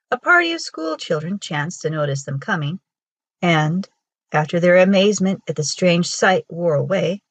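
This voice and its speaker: A woman with a husky voice reading a children's folktale aloud as a storyteller. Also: fairly low pitch, no echo. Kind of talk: scripted storybook narration for children.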